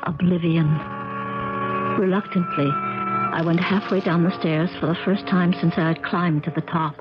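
An actress playing a concerned, loyal niece in a 1970s radio drama, speaking earnestly with careful diction. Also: female voice, on the low side, fairly even intonation, moderate pace, over music, lightly acted. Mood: reflective. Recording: dull, crackly 1970s radio recording.

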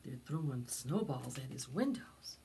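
A woman's voice: extremely low pitch, expressive, measured pace, dark tone, a little hiss or hum. A middle-aged woman reading a picture book aloud to preschoolers, expressively, stretching out words for young listeners. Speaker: library storytime reader. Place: indoors, in front of a decorated backdrop.

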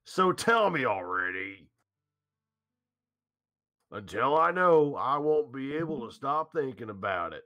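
Earnest young man, animated and bright man acting. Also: medium pitch, measured pace, pauses often, heavily acted. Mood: insistent, worried. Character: earnest, worried young man confronting a friend. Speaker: amateur reader of a visual novel's lead. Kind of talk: staged reading with joking asides.

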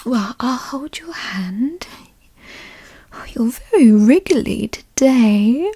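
A woman playing a doting, possessive yandere girlfriend who teases and coos in a soft, breathy ASMR roleplay whisper, close to the mic. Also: a little high, expressive, dry recording, exaggerated voice. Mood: tender coaxing. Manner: slow, breathy, cooing, teasing. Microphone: close microphone, dry.

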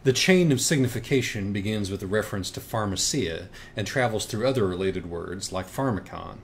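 A man voices an insecure, cerebral young writer in an audiobook dialogue. His voice sits a little low, and his delivery is wry, hesitant and self-loathing. Faint noise runs behind it. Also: lively intonation, a little fast, creaky. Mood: wry, defensive.

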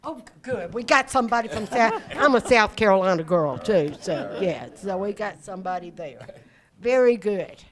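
A woman's voice: medium pitch, expressive, normal speed. Older woman emcee, cheerful and chatty, handheld mic in a big indoor hall. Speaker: event host. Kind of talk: casual emcee chat. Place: large community hall indoors.

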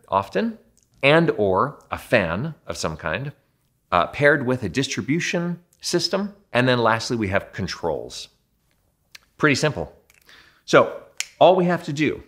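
Lively, low-voiced man explaining at a whiteboard. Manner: animated and conversational, self-deprecating asides. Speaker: building performance consultant. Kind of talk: educational explainer to camera. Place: indoor studio by a whiteboard.

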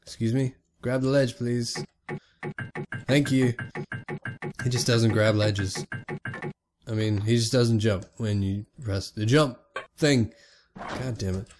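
A sick young man giving casual, excitable let's play commentary on a retro game, swearing often and talking to the characters on screen. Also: mid-range voice, takes it slowly, long pauses, music in the background. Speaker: gaming YouTuber.

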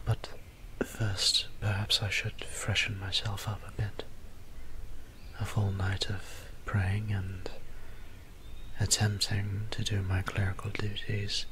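A man acting as a gentle, wistful vampire priest in an ASMR roleplay. The low voice stays breathy and almost whispered, moving very slowly through formal, self-reproaching musings. The delivery is level and subdued, with little rise or fall. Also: slight background noise. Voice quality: soft, breathy, near-whispered. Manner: formal, archaic, musing diction.

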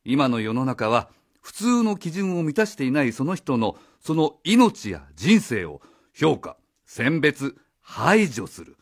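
Husky, blunt, accusing man played by an actor. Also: mid-range voice, big pitch swings, slow pace, heavily acted. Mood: sarcastic, accusing. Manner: rhetorical, confrontational, rough informal speech. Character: blunt, accusing male outsider challenging authority. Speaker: actor in a radio drama. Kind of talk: Japanese radio drama acting. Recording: faint music behind the voice.

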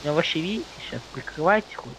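A man's voice: on the high side, lively intonation, quick pace, background noise. A man talking casually with his teammates as they play a game. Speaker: gamer in a group session. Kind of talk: casual gaming chat.

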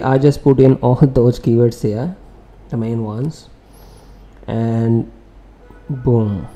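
Man walking through SEO software. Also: medium pitch. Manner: loose, conversational explaining with fillers and restarts. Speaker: SEO tutorial presenter. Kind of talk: screen-share software walkthrough.

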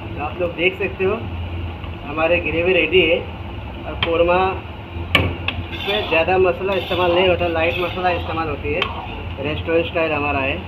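A man presents a recipe in a casual, instructional way. His voice sits a little high for a man. It is recorded in a very noisy kitchen, with a loud roar behind him. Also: fairly even intonation, normal speed, pauses often. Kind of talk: casual cooking demonstration narration. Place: restaurant kitchen, indoors.

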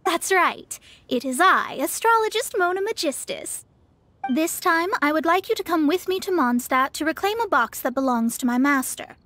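A woman's voice: high-pitched, animated, normal speed, sharp tone. A woman voicing a proud, eloquent young astrologer in an anime game, with a pompous, formal delivery. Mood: proud, assured.

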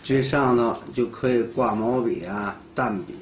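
A man's voice: medium pitch, unhurried, background noise. Plain-spoken man in his sixties. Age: 60s. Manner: plain-spoken, explains his craft with lively emphasis. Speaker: woodworker. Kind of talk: documentary interview. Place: cluttered indoor workshop. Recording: documentary music underneath.

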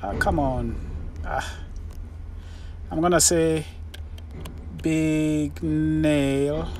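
Male truck-driver vlogger, slow and step-by-step, casual, faint vehicle noise in the background. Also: on the high side, fairly even intonation, darkish tone. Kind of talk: casual vlog walkthrough, thinking aloud. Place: truck cab and outdoor rail yard.

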